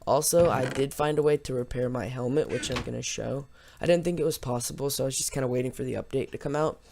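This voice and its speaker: A man hosts a gaming video in a lower-pitched-than-nothing, ordinary mid-range voice with plenty of creak. He is slightly hoarse and talks in a casual, unscripted ramble. Faint noise sits behind him in a home recording. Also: fast talker. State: slightly hoarse after losing voice from yelling. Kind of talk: casual let's play commentary.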